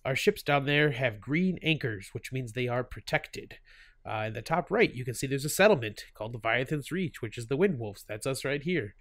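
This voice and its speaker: Chuckling stuffy-nosed gaming host. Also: male voice, medium pitch, lively intonation, moderate pace, fairly bright tone, no room sound, studio quality. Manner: chatty, laughs often, many fillers and restarts. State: stuffy from a cold. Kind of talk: casual gameplay commentary.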